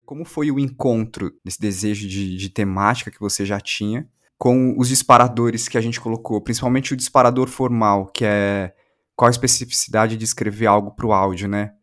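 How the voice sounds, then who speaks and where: A man's voice: lowish voice, fairly even intonation, moderate pace, a bit bright. A Brazilian man interviewing on a podcast, musing analytically in a reflective conversation.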